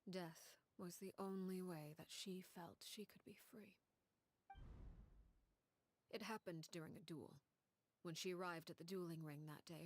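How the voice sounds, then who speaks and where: A cold, composed game noblewoman, speaking low and unhurried in a husky near-whisper. Her pitch sits on the lower side and her breathy tone stays hushed and grave. Every line is measured and controlled. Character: cold, composed noblewoman commander recounting a grim past. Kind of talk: video game cutscene dialogue.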